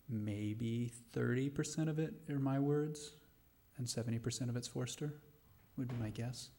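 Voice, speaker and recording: A middle-aged man speaking thoughtfully and haltingly as a playwright answering questions at a live post-show discussion. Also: on the low side, darkish tone. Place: live radio play venue before a studio audience.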